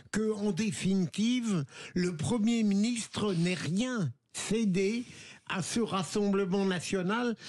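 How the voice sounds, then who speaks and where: Man with a high voice asking a political question, slow, formal, lots of careful pauses. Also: darkish tone. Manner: formal, deliberate, halting. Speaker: radio panelist. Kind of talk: putting a question in a political discussion. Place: radio studio.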